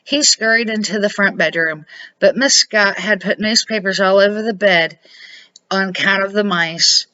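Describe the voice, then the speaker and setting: A middle-aged or older woman, a grandmother reading a children's storybook aloud in a deliberate, expressive amateur way. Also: medium pitch. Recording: dull lo-fi home recording.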